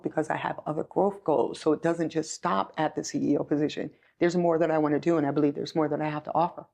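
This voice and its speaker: A woman's voice: sits low, fairly even intonation, fast, gravelly, warm tone. A woman of sixty telling her story conversationally as an interview guest, earnest and repeating phrases for emphasis. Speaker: chief executive, podcast guest.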